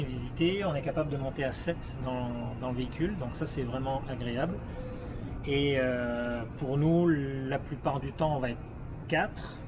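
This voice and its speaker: A man in his thirties with a Québécois French accent, casually showing off a new car and explaining its features, hesitating often with fillers and restarts. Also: mid-range voice. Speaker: travel vlogger. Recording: noisy outdoor ambience with vehicle sounds.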